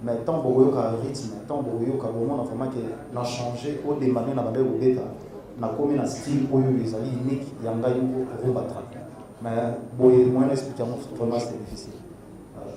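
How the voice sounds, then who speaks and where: A middle-aged man with a Congolese French accent answers questions at a press conference, hesitant and full of fillers. He sounds far from the recorder, in a very echoey hall. A crowd murmurs clearly behind him. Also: medium pitch, flat delivery, normal speed. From Democratic Republic of the Congo.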